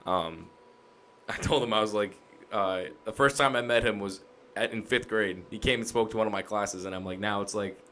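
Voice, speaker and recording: A young American man with a raspy voice, chatting casually as an interview host. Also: lowish voice, moderate pace, sharp tone. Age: young adult. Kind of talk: casual chat.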